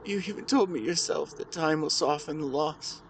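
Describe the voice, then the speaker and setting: A man playing a grieving, tender husband in an intimate audio drama monologue, speaking haltingly and earnestly to his late wife. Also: a little high, vocal fry, fairly bright tone, slight background noise. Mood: grieving, anguished. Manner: halting, earnest, emotional delivery with pauses. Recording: outdoor ambience with birdsong behind. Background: birdsong and nature ambience.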